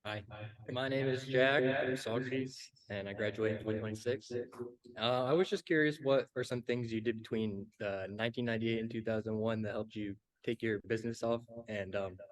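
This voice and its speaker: A man's voice: lowish voice, steady tone, moderate pace, poor audio. A young man, a student, casually asking a question from the audience. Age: young adult.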